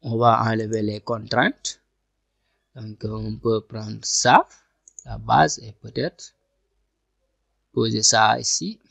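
Guy with a gravelly voice walking thru a software tutorial, dry close mic, says each step twice. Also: lowish voice, steady tone, slow, long pauses, dry recording. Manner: talks through each click step by step, often repeating himself. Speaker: software instructor. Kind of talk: screen-recorded software tutorial. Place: at a computer, voice over screen capture.

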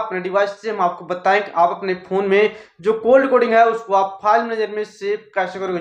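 A man gives a step-by-step phone tutorial in a repetitive, instructional way. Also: sits high. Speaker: tech tutorial host. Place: small indoor office room. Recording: roomy echo, dull lo-fi sound.

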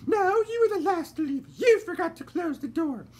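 A man voicing a shrill, scatterbrained lady who talks in frantic shrieks, set back from the mic. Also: animated, normal speed, darkish tone. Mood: fretful.

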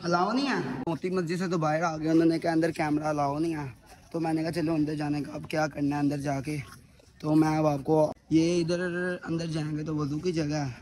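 A man's voice: a little high, flat delivery, brisk, a little mellow, slight background noise. Young man vlogging outdoors by phone. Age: young adult. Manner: chatty, talks to viewers while walking. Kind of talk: casual vlog narration. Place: outdoors at a historic fort. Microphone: phone held at arm's length.

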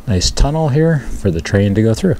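A man's voice: on the low side, animated, normal speed, warm tone. A man talking casually to his action camera while hiking along an old rail bed, chatty and easily amazed, with lots of fillers. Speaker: hiking vlogger. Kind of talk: casual walking commentary. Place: outdoors in a wooded gully by an old rail bed. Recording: noisy outdoor camera audio.

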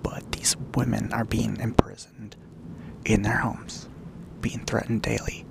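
Whispering, gravelly low-voiced man. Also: level tone. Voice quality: breathy whispering with gravelly fry. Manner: halting, hushed delivery with frequent pauses. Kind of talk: hushed, unscripted monologue commentary.

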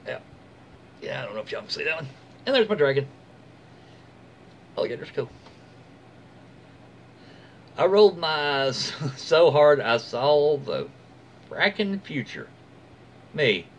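A man's voice: on the high side, sing-song, unhurried, pauses often. An American man in his forties reads stories aloud in a casual, folksy way, adding his own asides. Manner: folksy, informal. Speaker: host reading online stories aloud. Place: small room at home, indoors. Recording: faint room noise behind voice.

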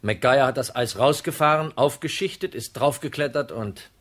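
An actor playing a cool, shrewd insurance detective in a radio crime drama, matter-of-fact and in control. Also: male voice, medium pitch, moderate pace, a bit bright, close to an everyday voice. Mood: calm, explaining.

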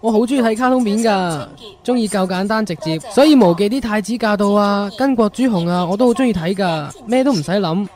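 A man's voice: sits high, a little fast, a little mellow, natural voice. A man playing a considerate young boyfriend in a radio drama. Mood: friendly. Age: young adult.

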